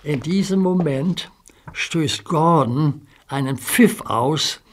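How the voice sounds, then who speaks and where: A man narrating a children's radio play in a measured storytelling manner. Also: fairly high pitch. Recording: noisy soundtrack recording.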